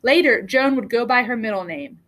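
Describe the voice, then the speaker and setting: A woman reading a children's book aloud as a storyteller, with deliberate, expressive phrasing. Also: on the high side. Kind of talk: read-aloud storybook narration.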